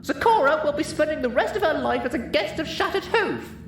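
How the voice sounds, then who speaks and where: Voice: sits high, expressive, brisk, distant mic, pitched above the performer's own voice. A storyteller voicing a theatrical pink cartoon pony mare with an exaggerated, sing-song manner. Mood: angry, commanding. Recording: roomy echo, clearly noisy background.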